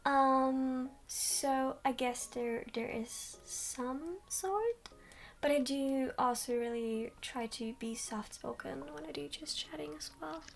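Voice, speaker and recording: Young woman streamer, soft quiet high voice, slow unhurried chat, sits a little back from the mic. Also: gravelly. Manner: slow, rambling, many ums. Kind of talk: soft-spoken livestream chat. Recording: distant from mic.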